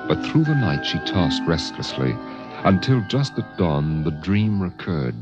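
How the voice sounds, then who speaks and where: Solemn poetic storyteller, extremely deep voice, measured, 1950s radio drama, music underneath, muffled vintage sound. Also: male voice, sing-song. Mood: solemn, ominous. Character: solemn, poetic storyteller of a science fiction tale. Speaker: narrator. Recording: dull vintage radio recording. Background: dramatic score music.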